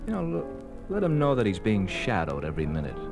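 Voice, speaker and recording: Deep voiced cop goon, slangy and scornful, old radio show with music. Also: male voice, wildly swooping pitch, quick pace, full character voice. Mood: eager, bossy. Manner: rough, slangy tough talk. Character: brutish, eager communist secret police henchman, scornful, cunning. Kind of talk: 1950s radio drama acting.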